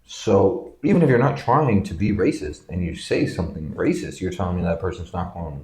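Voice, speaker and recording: Deep-voiced man, laid-back co-host. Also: brisk. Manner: relaxed, laid-back, repeats himself when starting a thought. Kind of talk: casual chat. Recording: echoey room.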